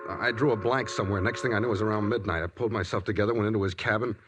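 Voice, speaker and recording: An actor playing a tense, guilt-ridden murder suspect, urgent and blunt, in a 1940s radio drama. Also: male voice, on the low side, steady tone, very fast, close to an everyday voice. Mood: tense, troubled. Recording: noisy vintage radio recording.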